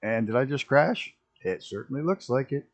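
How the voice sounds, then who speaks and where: A man with a rough early-morning voice, chatting casually and rambling as he streams a flight simulator. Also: mid-range voice, expressive, normal speed. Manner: rambling, self-interrupting, chuckling at mishaps. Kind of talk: casual live-stream commentary.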